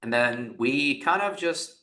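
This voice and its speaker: A man's voice: mid-range voice, expressive, slow, pushed and bright. A man from Iraq, a business founder, talking as a guest in a podcast interview, telling his story with many restarts and fillers. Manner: rambling, frequent restarts and fillers. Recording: echoey room.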